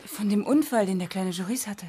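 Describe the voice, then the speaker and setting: An actress playing a sombre, haunted mother in a radio drama, husky, weary and brooding. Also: medium pitch, a little fast, warm tone, slight background noise, close to an everyday voice.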